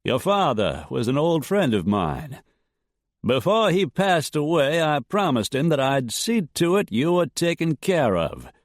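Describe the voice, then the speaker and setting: Narrator as Boston gent, calm, smooth, raised and a touch higher. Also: male voice, a little slow, no room sound, studio quality. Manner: smooth, carefully controlled, unctuous. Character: older man, calm and controlled suitor.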